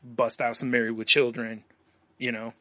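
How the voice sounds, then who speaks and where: A man's voice: medium pitch, completely flat delivery, moderate pace, pushed and bright, no echo. A man co-hosting a podcast in casual chat, rambling and laughing often as he talks. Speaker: podcast co-host.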